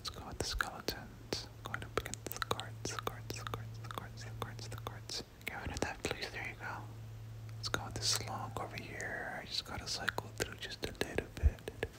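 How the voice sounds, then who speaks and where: A gaming creator giving ASMR game commentary in a breathy whisper, often repeating words in soft rhythmic runs. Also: normal speed.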